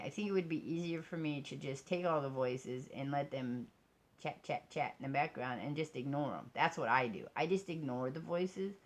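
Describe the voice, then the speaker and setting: An American woman chatting casually in a rambling, self-deprecating vlog recorded indoors. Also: low voice. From United States. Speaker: vlogger and crafter. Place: indoors at a craft table. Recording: noisy home recording.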